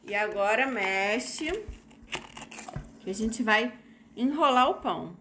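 Patient Brazilian mom walking kids through a recipe. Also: female voice, on the high side, expressive, slow, a bit bright, slight background noise. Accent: Brazilian Portuguese. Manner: patient, coaxing, explains step by step, teasing. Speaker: mother guiding her kids through a recipe. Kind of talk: casual family cooking video. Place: home kitchen, indoors.

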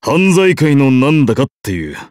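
A man's voice: medium pitch, sing-song, normal speed, darkish tone, no echo, studio quality, lightly acted. A man voicing a bold, hearty emperor in a game, with a brash, theatrical and casual way of talking. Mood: teasing. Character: bold, grandiose, hearty emperor and war leader. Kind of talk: game character voice lines.

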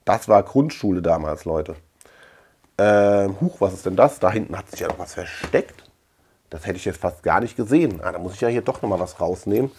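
A middle-aged man from Germany chats casually to camera, thinking out loud in a colloquial, rambling way. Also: low-pitched, animated, a little fast, husky. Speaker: collector and video channel host. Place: small indoor room lined with shelves.

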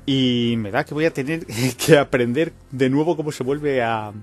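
Man, middle pitch, informal let's-play host, thinks aloud, casual swearing, game music underneath. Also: moderate pace. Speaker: gaming channel host.

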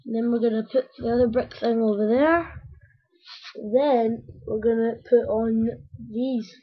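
A woman with a croaky voice, rambling casually to her viewers as she builds a toy step by step. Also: a little high, fairly even intonation, a little slow. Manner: rambling, talks to viewers while working with hands. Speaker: video maker building a toy. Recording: dull lo-fi home recording.

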